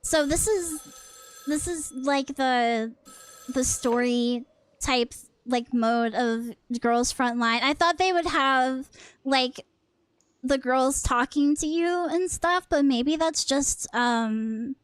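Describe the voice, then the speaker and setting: A woman live-streaming a game, chatting casually, swearing freely and blurting out quick reactions. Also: high voice, clear, resonant tone, no echo. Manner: reads on-screen game text aloud between comments.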